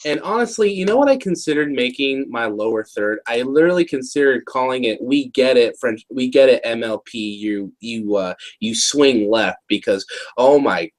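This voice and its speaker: A man's voice: mid-range voice, animated, normal speed, hardly any pauses. Slangy American man with a mid-range voice. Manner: slangy, exaggerating, jokey. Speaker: review show co-host. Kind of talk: casual joking review chat.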